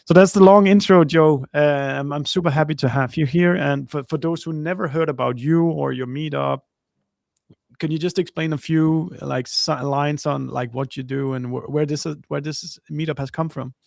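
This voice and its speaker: A man hosting a podcast interview, introducing his guest in a halting way, with lots of fillers and restarts and a small laugh now and then. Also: a little high, steady tone, creaky, a little mellow, no echo, clean studio sound. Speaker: podcast host, company co-founder.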